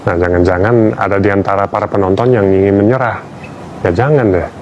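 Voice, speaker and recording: A man's voice: fairly low pitch, fairly even intonation, brisk, a little mellow, dull audio, a little hiss or hum. A man from Australia, a native English speaker, giving a wry, playful public talk as a language teacher.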